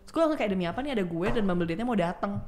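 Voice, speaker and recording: A woman's voice: medium pitch, expressive, racing, darkish tone, slight background noise. A woman in her twenties with a Jakarta accent, telling stories in casual slangy chat and acting out the quotes as she goes. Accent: Jakarta Indonesian. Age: 20s. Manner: chatty, slangy storytelling with acted-out quotes. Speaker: podcast guest.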